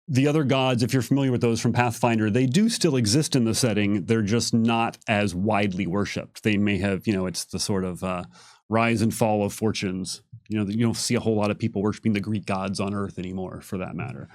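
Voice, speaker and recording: A man's voice: on the low side, steady tone, fairly quick, a little mellow, no echo, studio quality. A man giving casual, conversational explanations as a game designer on a Q&A panel.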